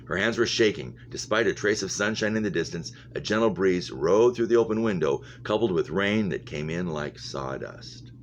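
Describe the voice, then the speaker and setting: A man with a low, deep voice reads a novel aloud. He trips on words here and there and repeats phrases to get them right. The recording is dull and lo-fi, with a noticeable hum behind him. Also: lively intonation, normal speed, hardly any pauses. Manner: stumbles over words and rereads phrases. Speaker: reader, English teacher. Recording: muffled lo-fi audio with humming noise.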